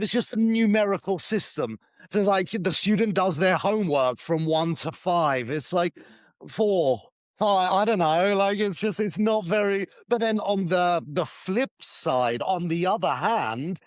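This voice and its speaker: A man's voice: sits high, no echo, clean studio sound. A man co-hosting a casual podcast about teaching, chatty, often searching for words and laughing.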